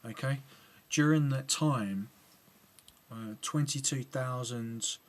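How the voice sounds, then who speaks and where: A man's voice: mid-range voice, slow pace. A man with an English accent giving a spoken video reply, hesitant and full of ums and restarts. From England. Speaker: video blogger replying to another video. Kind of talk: casual spoken commentary to camera.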